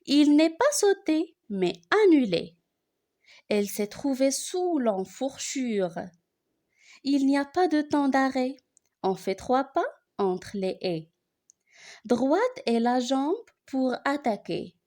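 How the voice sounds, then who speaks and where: A woman reciting a poem for learners in an expressive way, phrasing it deliberately line by line. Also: high-pitched.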